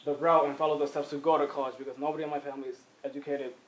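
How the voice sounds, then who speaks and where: A man's voice: fairly high pitch, level tone, rapid-fire, slight background noise. Young man from the Dominican Republic, echoey. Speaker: youth jobs program participant. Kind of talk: interview.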